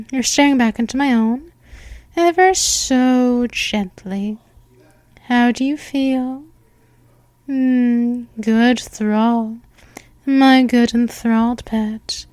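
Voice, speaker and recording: A woman voicing a slow hypnotic vampire mistress. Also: a little high, fairly even intonation, dry recording. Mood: seductive, possessive. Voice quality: soft, slow, hypnotic, with drifting whispers. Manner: coaxing, repetitive, counts down. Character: seductive female vampire mistress, possessive and commanding. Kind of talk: ASMR hypnosis roleplay.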